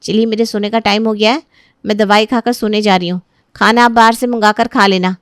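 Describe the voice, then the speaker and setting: A woman's voice: medium pitch, fairly even intonation, brisk, fairly bright tone, clean tone, no room sound, clean studio sound, lightly acted. A woman playing a young pregnant daughter-in-law, gossiping and resentful, in a family story drama. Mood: curt, angry.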